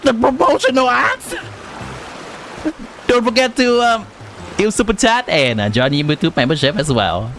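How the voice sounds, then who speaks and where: A man's voice: high voice, lively intonation, moderate pace, long pauses, a bit bright, slight background noise. A young man from Vietnam, a native Vietnamese speaker with a Vietnamese accent, chatting casually on a live game stream and answering viewers while giving game advice. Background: game music.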